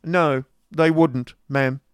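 Male reader as a hard, blunt gentleman, loud and clipped, voiced up a step, in a dry room. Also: medium pitch, fairly quick, clean tone, no room sound, pitched above the performer's own voice, lightly acted. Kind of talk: audiobook character voice.

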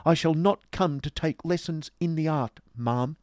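A man's voice: a little high, measured pace, darkish tone, no echo, clean studio sound, voice pushed up, close to an everyday voice. A man voicing a spiteful, haughty young woman, scornful and indignant, in a dramatised novel reading.

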